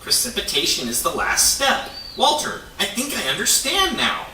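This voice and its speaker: A man's voice: sits high, wildly swooping pitch, normal speed, bright, forceful tone, full character voice. A man voicing an eager young beaver in a children's puppet show, earnest and exaggerated. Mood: proud, eager. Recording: echoey, distant, faint noise.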